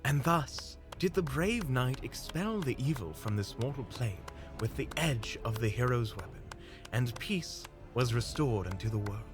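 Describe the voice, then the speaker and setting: A genteel male stranger, acted by a man, speaks with mock-heroic grandeur and polished courtesy. His mid-pitched voice swoops animatedly over prominent music, in the manner of a fantasy audio drama.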